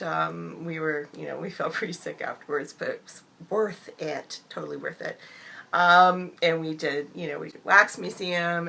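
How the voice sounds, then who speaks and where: A woman vlogger with a bad cold, her voice hoarse and gravelly and dropping into whispers, chatting upbeat with many fillers. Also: on the low side, pushed and bright. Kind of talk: casual chat to camera.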